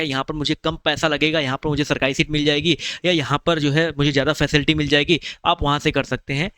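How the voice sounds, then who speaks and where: A man's voice: fairly high pitch, a bit bright, smooth, dry recording, clean studio sound. A man, explaining exam preparation in a chatty, reassuring video lesson and calling viewers brother. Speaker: exam-prep teacher.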